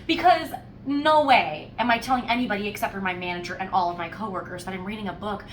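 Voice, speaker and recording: A woman chats through a casual book review with playful, wry emphasis. Her voice sits at an average pitch and is animated in its storytelling. The recording is echoey and a little distant, with faint noise behind her. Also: fast talker. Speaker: host of a book discussion podcast. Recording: roomy echo, distant mic, faint noise.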